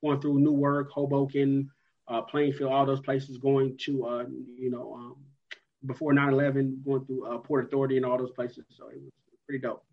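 A man's voice: mid-range voice, monotone, moderate pace. A man hosting a casual chat, talking in an easygoing, chatty way. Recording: dull lo-fi sound.

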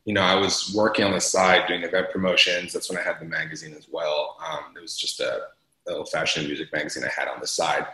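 A young man from Los Angeles talks in a very deep voice with a bright, forceful edge. He chats casually as an interview guest, laughing often and leaning on fillers. The room sounds echoey around him. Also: fairly even intonation, quick pace. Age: young adult. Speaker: entrepreneur guest.

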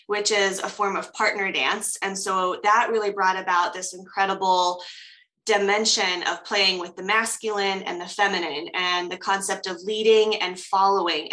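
Bright-voiced American woman telling her story. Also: medium pitch, rough recording. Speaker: podcast guest, coach and dancer. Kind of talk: personal storytelling in an interview.

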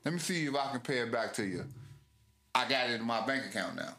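A man's voice: medium pitch, expressive, quick pace, pushed and bright. A man co-hosting a podcast, arguing his point insistently in casual, profane talk. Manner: insistent, argumentative, profane, cuts in often. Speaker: podcast co-host. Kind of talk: heated casual debate. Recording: echoey room.

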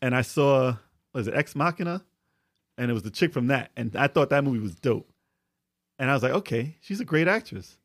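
A man's voice: medium pitch, animated, brisk, no room sound. Casual man with a dry, close sound. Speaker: podcast co-host. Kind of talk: casual chat about movies.

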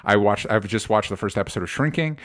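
A man's voice: fairly low pitch, lively intonation, fast, fairly bright tone. Casual man chatting about movies. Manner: informal, profane, repeats phrases. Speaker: co-host of a movie news show.

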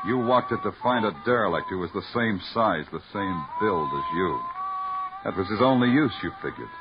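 A man's voice: fairly low pitch, animated, normal speed. An actor playing a terse, hard-boiled male police detective who also narrates, in a vintage radio drama. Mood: tense. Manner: terse, clipped, brooding narration. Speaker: narrator and lead. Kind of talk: 1950s radio acting. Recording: dull vintage radio broadcast with music score.

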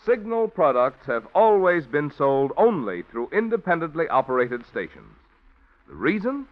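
A man announcing a 1940s radio drama with sponsor messages, smooth and persuasive. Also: mid-range voice. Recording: dull old radio broadcast with music.

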